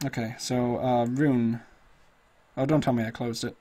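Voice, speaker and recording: A slightly hoarse man casually walking through a tabletop character build, thinking aloud with lots of fillers. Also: medium pitch, level tone, unhurried, warm tone. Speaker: tutorial host. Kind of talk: casual screen-recorded game tutorial.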